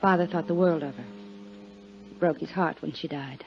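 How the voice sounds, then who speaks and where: A woman's voice: sits low, moderate pace, slight background noise. A woman playing a polite, guarded young captain's daughter in 1950s radio drama style. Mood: reserved, polite. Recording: dull 1950s radio recording.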